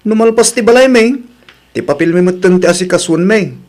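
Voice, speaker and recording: A man's voice: high-pitched, brisk, darkish tone, close to an everyday voice. An actor playing an earnest, responsible young working man in a radio drama, speaking respectfully.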